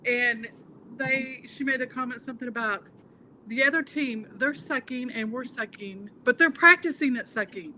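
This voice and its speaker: A woman's voice: fairly high pitch, normal speed, pushed and bright, slight background noise, poor audio. A woman from the South in her sixties, chatting and telling stories in a self-mocking way. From American South. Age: 60s. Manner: chatty storyteller, self-deprecating. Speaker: trader on a panel. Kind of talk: casual chat.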